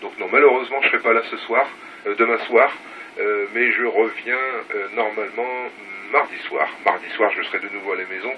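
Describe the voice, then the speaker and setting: A man's voice: on the low side, steady tone, brisk, bright, forceful tone. A man chatting casually over a two-way radio as an operator, repeating phrases for emphasis. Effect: heard over two-way radio. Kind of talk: casual radio contact chat. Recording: distant noisy radio-speaker sound.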